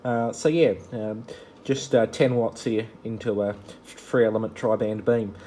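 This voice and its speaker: A man's voice: on the low side, steady tone, measured pace, warm tone. Man on ham radio, faint noise. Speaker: amateur radio operator. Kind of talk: ham radio call exchange.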